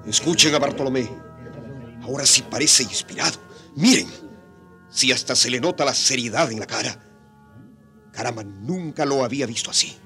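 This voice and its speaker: Scornful, forceful colonial settler. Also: male voice, mid-range voice, normal speed, long pauses, faint music behind. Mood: mocking. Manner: mocking, agitated. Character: scornful, hot-tempered Spanish colonist in a crowd. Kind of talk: radio drama acting.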